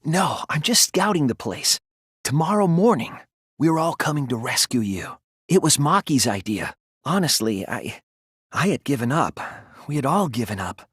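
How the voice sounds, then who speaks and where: A man voicing an earnest, anxious, thoughtful teenage student detective in a video game, with a hesitant, soft, slightly husky delivery. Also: medium pitch, very animated, moderate pace, no room sound, studio quality. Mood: quiet, sincere. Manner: hesitant, stammering when flustered, earnest. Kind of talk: English video game character voice acting.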